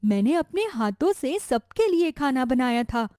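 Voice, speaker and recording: A woman voicing a gentle, wronged old mother-in-law in a cartoon story, speaking with care and protest. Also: high-pitched, big pitch swings, a little slow, dry recording, clean studio sound. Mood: hurt, protesting. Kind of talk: Hindi cartoon story voice acting.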